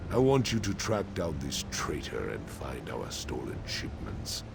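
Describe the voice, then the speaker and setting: A man's voice: very deep voice, vocal fry, noisy background, exaggerated voice. A man playing a hard, vengeful orc chief in a fantasy video game, terse and commanding. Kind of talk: video game character dialogue. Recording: game audio with music behind.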